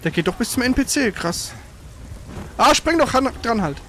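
High-pitched man streaming games, noisy background. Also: very animated, moderate pace, bright, forceful tone. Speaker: gaming streamer, player. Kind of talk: casual live gameplay commentary. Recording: noisy stream with game sound behind.

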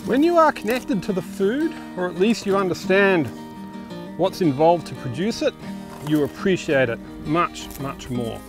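Middle-aged man farmer, calm and plain-spoken, with guitar music under his talk. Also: high-pitched, a little slow. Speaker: urban farmer. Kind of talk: documentary interview. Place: outdoors on a farm.

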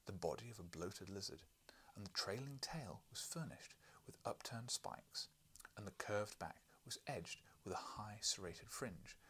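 A man's voice: fairly low pitch, creaky, pushed and bright, husky. A man, reading literary narration aloud as an audiobook reader. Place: small book-lined living room, indoors.

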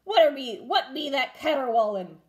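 A woman's voice: high-pitched, big pitch swings, normal speed, voice pushed up. A woman voicing a blustering, bossy male pirate captain in a storybook, hollering in pirate dialect. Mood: loud, demanding.

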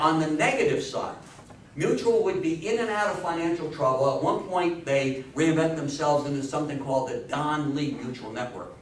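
Male American history lecturer, emphatic, higher-than-average pitch, distant mic with faint background noise. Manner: emphatic, asks rhetorical questions. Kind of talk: informal public history talk. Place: small indoor meeting room with seated audience. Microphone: distant camera microphone. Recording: echoey room, far microphone, faint hiss.

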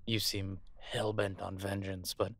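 A man's voice: low-pitched, little pitch movement, a little slow, a bit bright, exaggerated voice. A man playing a captive werewolf alpha soldier in an audio roleplay, defiant, protective and sardonic as he urgently argues and swears. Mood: firm persuasion. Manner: profane, sardonic, urgent persuading.

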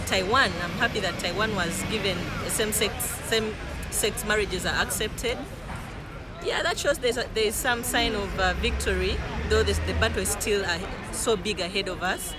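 A young woman gives an earnest, halting video message outdoors in a city square. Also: mid-range voice, rough recording. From Uganda.

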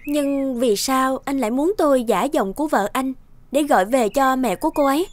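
An actress playing a composed young professional woman in a cartoon, calm and articulate. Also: high voice, moderate pace, a little hiss or hum, lightly acted. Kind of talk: Vietnamese cartoon dubbing.

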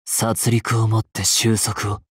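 男性声優がゲームで演じる、悲哀に満ちて丁寧な物言いの、冷徹で詩的な騎士の弓使い。. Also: male voice, on the low side, monotone, breathy edge, no room sound, clean studio sound. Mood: solemn, mournful. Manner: slow, formal, poetic delivery. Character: sorrowful, courtly male knight archer, poetic and coolly merciless. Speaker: voice actor in a mobile game. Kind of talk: theatrical game battle lines. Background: game music.

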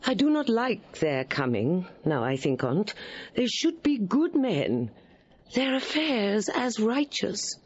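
A woman's voice: medium pitch, big pitch swings, takes it slowly, a little mellow, husky, dull audio, full character voice. A woman playing a proud, wary, wronged queen in a Shakespearean audio drama, speaking formally and emphatically. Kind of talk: Shakespearean radio drama acting.

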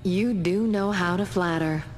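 Actress as a sly, low-voiced woman space pirate. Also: unhurried, natural voice. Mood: wry, guarded. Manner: wry, self-assured. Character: sly, cool female space pirate captain. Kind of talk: video game cutscene acting. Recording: loud music behind.

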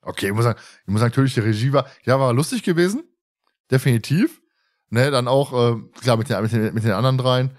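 A man's voice: medium pitch, quick pace. A man hosting a podcast in casual, chatty conversation with a teasing manner. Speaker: podcast host.

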